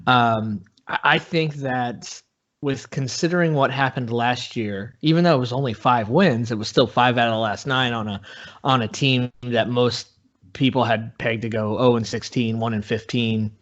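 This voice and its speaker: A man giving casual sports analysis as a podcast guest, in a measured, halting way, on dull lo-fi audio. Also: medium pitch.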